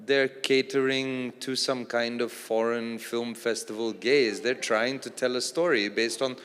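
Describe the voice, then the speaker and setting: A man, an actor and producer from Australia, speaking as a panel guest in a relaxed, thoughtful way with many fillers. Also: mid-range voice, a little slow, smooth. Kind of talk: casual panel conversation. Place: large event hall on stage. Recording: long reverb of a large hall.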